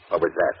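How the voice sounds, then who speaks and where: An actor playing a defensive, uneasy gangster, terse and slangy, in 1940s radio mystery style. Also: male voice, sits low, exaggerated voice. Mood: startled. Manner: terse, hesitant, rough slangy grammar. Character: nervous, defensive tough male gangster. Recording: dull, noisy old radio recording.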